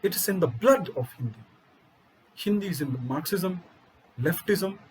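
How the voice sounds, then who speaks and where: Emphatic Indian man, forceful rhetoric. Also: sits high, lively intonation, normal speed, a little hiss or hum, rough recording. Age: middle-aged. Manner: emphatic, rhetorical questions, repeats key phrases. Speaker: UPSC coaching teacher. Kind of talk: impassioned lecture.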